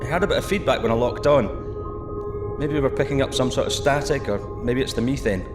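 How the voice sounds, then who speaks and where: An actor playing a male deep-sea diver piloting a tiny submarine, giving calm, clipped radio reports. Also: fast, roomy sound, over music, close to an everyday voice. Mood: steady. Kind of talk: audio drama acting.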